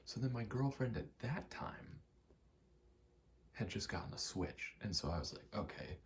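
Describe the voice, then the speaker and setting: A soft-spoken man rambling casually in ASMR style, meandering and murmuring, often dropping toward a whisper. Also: lowish voice, lively intonation, moderate pace. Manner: meandering, self-correcting, casually sweary. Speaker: video maker rambling over gameplay. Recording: faint background noise.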